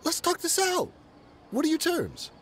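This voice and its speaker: A nervous haggling smuggler. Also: male voice, extremely high pitch, huge pitch swings, creaky, a bit bright, a little hiss or hum, full character voice. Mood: pleading. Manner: pleading, bargaining, quick to back down. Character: nervous wheedling male smuggler informant. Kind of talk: game cutscene voice acting.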